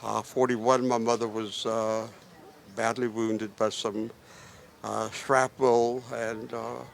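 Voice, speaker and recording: A gravelly, low-pitched man in his eighties speaks in a flat, even tone. He tells his story haltingly, pausing again and again on filler sounds. He is being interviewed live. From Ukraine. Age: 80s. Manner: halting, with many filler pauses. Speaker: Holocaust survivor interviewee.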